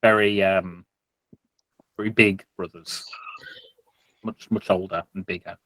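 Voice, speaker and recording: A man's voice: low-pitched, steady tone, measured pace, pushed and bright, no room sound, rough recording. Man game master, dry close sound. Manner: hesitant, improvising descriptions. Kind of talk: improvised tabletop game narration.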